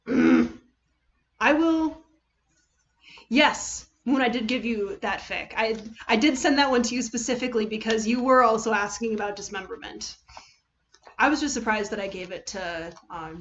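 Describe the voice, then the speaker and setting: A woman chatting casually to viewers as a livestream host, halting and rambling, often trailing off and correcting herself. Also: fairly high pitch, lo-fi sound. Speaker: livestream host reading fan fiction.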